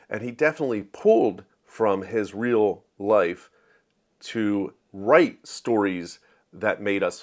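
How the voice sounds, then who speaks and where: Low-voiced man giving a casual review. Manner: conversational, playful asides and wordplay. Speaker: book reviewer, show host. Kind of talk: casual spoken book review.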